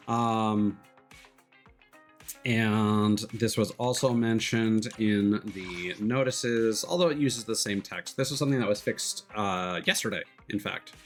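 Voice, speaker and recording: Male game streamer, lowish voice, casual and analytical with halting delivery, music playing underneath. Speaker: streamer, host of a gaming live stream. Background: background music.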